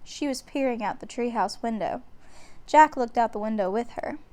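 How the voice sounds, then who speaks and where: A woman reading a children's bedtime story aloud in a deliberate, expressive way. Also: fairly high pitch. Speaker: storybook reader.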